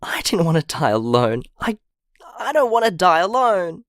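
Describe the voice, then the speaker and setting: An actor playing a traumatised, gentle man leaving a halting, vulnerable voicemail in an intimate audio drama. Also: sits high, very animated, no room sound, clean studio sound, heavily acted. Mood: anguished, tearful. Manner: halting, hesitant, emotionally vulnerable.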